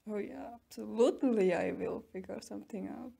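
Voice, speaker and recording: A woman playing a smug, taunting tsundere captor in an ASMR roleplay, mocking and teasing with drawn-out pauses. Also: mid-range voice, vocal fry, mellow, slightly rough. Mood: grudging, threatening. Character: smug, taunting tsundere young woman keeping a captive werewolf.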